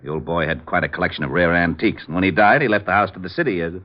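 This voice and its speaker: Brusque American police lieutenant male radio actor: low-pitched, exasperated, barking orders, dull old-time radio sound. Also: racing, close to an everyday voice. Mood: explaining. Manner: brusque, bossy, impatient. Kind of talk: 1940s-50s American radio detective acting.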